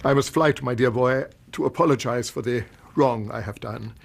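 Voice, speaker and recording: An older, respectable Victorian English banker in a radio play. His voice is medium in pitch, creaky and rough. He speaks formally and earnestly, as an emotional father would. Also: moderate pace. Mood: grateful, humbled. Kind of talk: radio drama acting.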